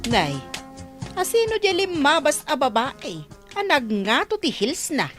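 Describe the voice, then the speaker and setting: Woman as a high-pitched, nosy Ilocano village mother. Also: huge pitch swings, a little slow, over music, full character voice. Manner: inquisitive, exclaiming. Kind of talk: Ilocano radio comedy acting.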